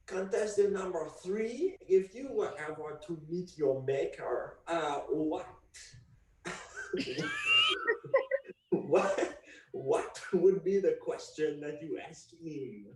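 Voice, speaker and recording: A man doing a pompous French bachelor who drawls his questions at a slow, lingering pace with a put-on French accent. The voice sits fairly high for a man and carries a roomy echo, picked up from some distance off the mic. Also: expressive, mellow. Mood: hammy. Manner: theatrical, drawn-out questions sprinkled with French. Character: pompous French bachelor hosting a dating game. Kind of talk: improv comedy. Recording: roomy sound, away from the mic.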